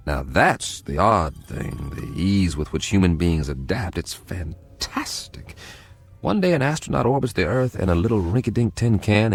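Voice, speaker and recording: An actor playing a world-weary code breaker who narrates and talks with a dry, wry manner in a radio drama. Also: male voice, on the low side, vocal fry, slightly rough, full character voice.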